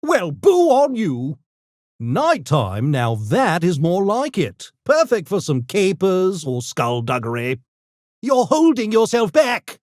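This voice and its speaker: Video game gremlin, erudite and impish, high voice, close dry sound, pompous mock-courteous phrasing. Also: male voice, wildly swooping pitch, takes it slowly, a little mellow, no echo, exaggerated voice. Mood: playful, mischievous. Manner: posh, pompous, theatrical, mock-polite diction. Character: urbane genteel mischievous gremlin, a genetically engineered intellectual creature. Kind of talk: video game character voice lines.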